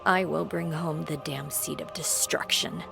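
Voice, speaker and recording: A woman's voice: deep voice, steady tone, a little slow, creaky, exaggerated voice. An actress playing a tough, defiant, hot-tempered military agent in a video game, blunt and sardonic in her delivery. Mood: bitter, determined. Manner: blunt, challenging, dramatic. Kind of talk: video game cutscene acting. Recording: music under the voice.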